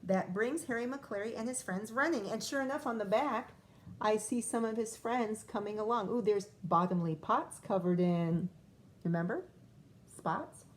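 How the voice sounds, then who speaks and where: A woman's voice: mid-range voice, moderate pace, a little hiss or hum. A woman first-grade teacher reading a picture book aloud to young children, expressively drawing out lines and adding chatty asides.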